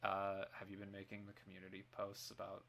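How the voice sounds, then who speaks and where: Livestream co-host, very low and bright voice, animated banter, faint background noise. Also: brisk, vocal fry, poor audio. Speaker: stream co-host. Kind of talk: casual livestream banter and reactions.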